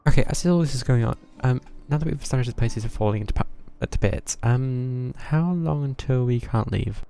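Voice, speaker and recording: A man casually commenting as he streams a game, in a low-key, offhand way. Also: brisk, dark tone, clean tone. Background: game music.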